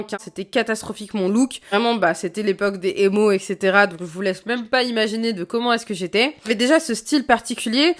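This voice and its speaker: A woman in her late twenties, a YouTuber answering viewer questions in a frank, chatty way, with many fillers and restarts. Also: mid-range voice. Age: late 20s. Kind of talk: casual Q&A vlog.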